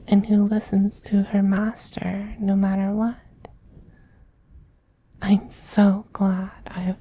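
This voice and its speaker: A man voicing a dominant but tender femboy vampire queen in a soft, slow, whispery ASMR roleplay, doting on a pet with condescension. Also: high-pitched, slightly rough, no echo, heavily acted. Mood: possessive, doting.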